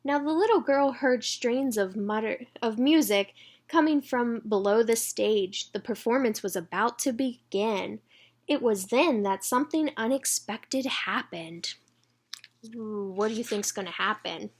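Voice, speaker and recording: Lady with a highish voice doing storytime for kids, expressive, talks right to the listeners. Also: unhurried. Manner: engages young listeners with questions. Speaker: children's read-aloud reader. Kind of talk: expressive storybook read-aloud.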